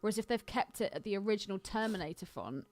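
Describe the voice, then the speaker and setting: A woman's voice: mid-range voice, expressive, fast, darkish tone. A woman co-hosting a podcast, chatting casually about a film in a blunt, critical way. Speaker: podcast co-host. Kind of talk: casual film commentary chat.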